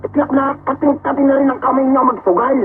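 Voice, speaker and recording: An actor playing a greedy young village official in a radio drama, dismissive and swaggering. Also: male voice, very high voice, level tone, fast talker. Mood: brash. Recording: tinny phone-like sound, faint hiss.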